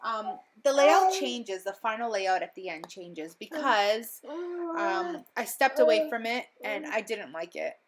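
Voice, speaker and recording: A woman's voice: fairly high pitch, big pitch swings, unhurried, heavy background noise. A young woman recovering from a cold, narrating a crafting voiceover at home in a rambling, chatty way with lots of ums and little laughs. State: slightly stuffy, recovering from illness. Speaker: crafting vlogger. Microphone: voiceover mic.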